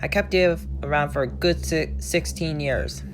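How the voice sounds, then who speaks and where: A woman voicing a gruff, sorrowful old crab father who speaks haltingly in salty sailor phrases, with music behind. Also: low voice, natural voice. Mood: tearful, confessing.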